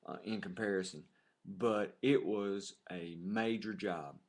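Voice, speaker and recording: Halting man on a home webcam. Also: low voice, gravelly. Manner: halting, many fillers and restarts. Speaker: vlogger, half of a couple. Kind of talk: casual webcam chat.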